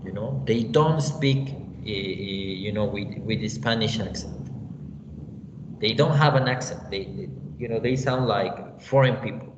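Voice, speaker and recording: A man with a Mexican accent teaching an online English class, unhurried and often checking in with "you know" and "okay?". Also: fairly low pitch. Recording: echoey, roomy, dull lo-fi sound with faint hiss.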